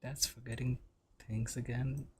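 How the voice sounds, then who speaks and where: A man streaming a game, reading text aloud and reacting casually in a low-key mutter. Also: little pitch movement, unhurried, background noise. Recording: loud game music behind voice. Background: game music and clicking.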